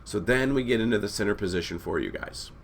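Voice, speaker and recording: A hoarse man who is losing his voice, hosting a casual fantasy basketball breakdown that rambles and corrects itself as he talks to viewers. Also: fairly low pitch, quick pace, slight background noise. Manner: rambling, self-correcting, addresses viewers as guys. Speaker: fantasy sports analyst host. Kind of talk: casual screen-share breakdown.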